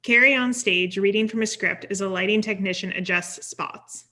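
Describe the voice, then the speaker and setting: A woman's voice: mid-range voice, moderate pace, sharp tone. A woman reading stage directions as scripted narration, neutral and matter-of-fact. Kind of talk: scripted narration at a table read.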